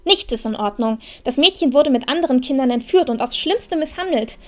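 Woman acting a high-voiced, determined young heroine. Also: lively intonation, brisk. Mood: indignant, resolute. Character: bold, determined young heroine, impatient to help. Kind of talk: fantasy audio drama acting.

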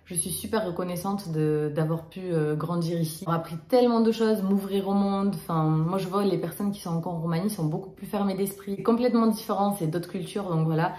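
Chatty young woman from Romania, lower voice. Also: a little fast, hardly any pauses, dark tone, echoey room. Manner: chatty, many fillers and restarts. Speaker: vlogger. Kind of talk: casual storytime to camera. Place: small room indoors.

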